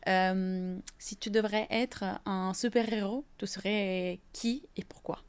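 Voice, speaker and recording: A woman's voice: medium pitch, fairly even intonation, slow pace, clean tone. A woman hosting a podcast, greeting her guest and asking informal, friendly questions. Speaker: podcast host. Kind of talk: casual interview questions.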